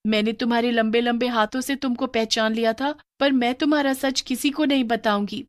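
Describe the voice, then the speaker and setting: A woman voicing a curious, scared young schoolgirl, talking high and excitedly. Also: fairly quick, no echo, studio quality, natural voice. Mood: pleading.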